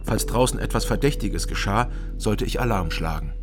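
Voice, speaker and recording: An actor playing a taciturn, wry East German night porter, narrating and speaking tersely in a radio crime drama. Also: male voice, fairly low pitch, animated, normal speed, noisy background, lightly acted. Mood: dry, reflective. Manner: laconic, terse, understated. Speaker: first-person narrator. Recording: music behind the voice.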